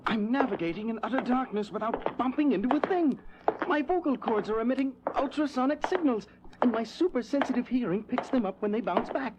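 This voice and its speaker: An actor playing a frantic, melodramatic scientist who is turning into a bat creature, in a 1970s audio drama. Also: male voice, very high-pitched, a little fast, muffled recording, very noisy. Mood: astonished triumph. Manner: melodramatic, exclamatory.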